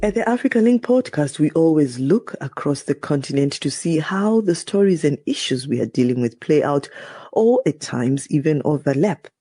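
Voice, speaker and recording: Mellow, husky male news host, dry studio. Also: on the high side, expressive, normal speed, dry recording, soft background music. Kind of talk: scripted news presentation.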